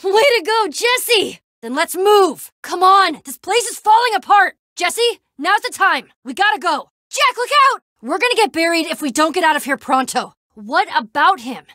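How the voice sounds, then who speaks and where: Casting: scrappy young game heroine, high-pitched and very animated, sarcastic and plain-spoken. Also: female voice, moderate pace, hardly any pauses, no echo, studio quality, full character voice. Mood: urgent. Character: scrappy, wry young female adventurer in a blocky adventure game. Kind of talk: video game voice acting.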